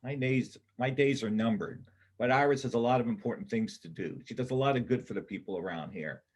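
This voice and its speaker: A man's voice: medium pitch, fairly quick, a little mellow, husky, close to an everyday voice. A man in an amateur reader's theater play, acting an earnest, wry man who is willing to sacrifice himself. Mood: pleading, resigned.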